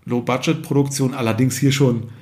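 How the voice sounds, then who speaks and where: A man's voice: medium pitch, fairly even intonation, a little fast, darkish tone. A man hosting a podcast, discussing film conversationally in speech and often searching for words. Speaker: podcast host.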